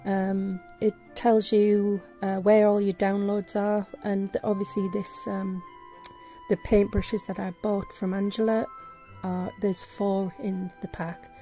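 A woman's voice: mid-range voice, little pitch movement, slow pace, mellow, faint music behind. A woman who is losing her voice, talking casually and hesitantly through a tutorial voice-over, with many fillers and restarts. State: losing her voice, sore throat. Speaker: vlogger. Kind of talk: casual screen-recorded tutorial. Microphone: voice-over on screen recording.

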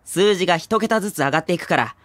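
A man playing a cheerful, excitable teenage schoolboy who gives animated battle commentary in a comedic anime audio drama. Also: high-pitched, fast talker, dry recording. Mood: eager explaining. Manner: animated, expository, awestruck. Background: music.

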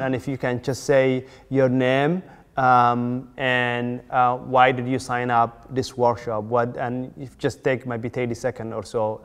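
A man in his forties, from Somalia, facilitating a community workshop in a measured way, with many fillers and restarts. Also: mid-range voice, clean tone, long reverb tail. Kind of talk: workshop introduction. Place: community room, indoors.

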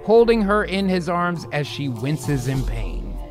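A man in his thirties, excitable and sweary, hosting casually and reading scripts aloud as a dramatic narrator. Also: a little high, measured pace. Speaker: host of an improv movie-writing show. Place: home room, video call. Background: music.

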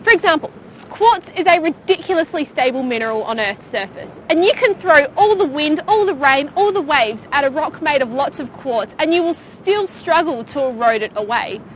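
A young woman talks through a geology lesson with playful enthusiasm, her voice set high. Light background rumble sits under her voice outdoors on a rocky beach. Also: lively intonation, moderate pace. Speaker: geologist presenter. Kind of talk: scripted science explainer.

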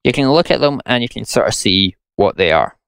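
A man's voice: medium pitch, big pitch swings, fairly quick, vocal fry, a bit bright, clean tone, dry recording. A young Scottish man giving blunt, sarcastic gameplay commentary and ranting about a farming game. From Scotland. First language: English. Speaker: gaming YouTuber.

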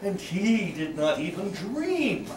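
A cunning, gleeful madman confessing to murder on a gothic stage. He draws his words out very slowly, with theatrical pauses and outbursts. It is picked up from a distance in an echoing, noisy room. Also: high-pitched, sing-song, voice pushed up, heavily acted. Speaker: stage actor. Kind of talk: live dramatic reading of a gothic horror monologue. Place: small brick-walled cafe with seated audience. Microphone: distant camera microphone. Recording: roomy, noisy recording from across the room.

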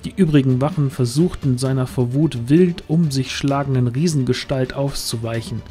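A man's voice: mid-range voice, moderate pace, warm tone, soft background music. A man giving scripted narration for a fantasy audio drama.

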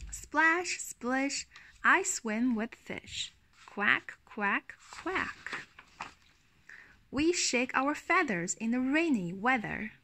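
A woman's voice: high-pitched, animated, very slow, bright, forceful tone, slight background noise, heavily acted. A woman voicing a playful, childlike little duckling in a storybook, with a sing-song rhyming delivery. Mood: happy, playful. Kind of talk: children's picture-book storytelling.

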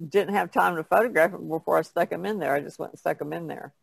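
A man's voice: fairly high pitch, quick pace, creaky, husky, no echo. A man talking casually as an artist guest on a podcast, telling stories in a plainspoken way. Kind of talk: casual conversational storytelling.

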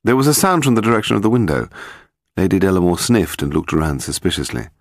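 A man with a British accent reading a detective story as scripted narration, in a measured, dramatic storytelling manner. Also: sits low, big pitch swings, no room sound, studio quality. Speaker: audiobook narrator.

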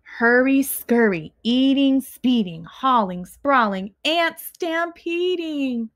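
A young woman reads aloud for children in a fairly high voice. She goes very slowly, setting off each short phrase with a pause and clear emphasis. It is an expressive storytime delivery, recorded in a small living room at home. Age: young adult. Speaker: teacher reading a picture book to children.

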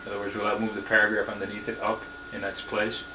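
A man's voice: lowish voice, completely flat delivery, fast, bright, forceful tone. A man asking step-by-step questions in a computer lesson, often restarting his sentences, recorded off camera. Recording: echoey room with noticeable hiss.

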